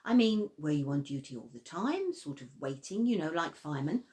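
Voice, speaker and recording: Office interviewer, actress, lowish voice with very varied intonation, courteous, probing, radio drama. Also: female voice, very animated, fairly quick, warm tone. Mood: curious, tentative. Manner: politely probing, corporate. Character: polite, probing female careers interviewer, slightly awkward southerner.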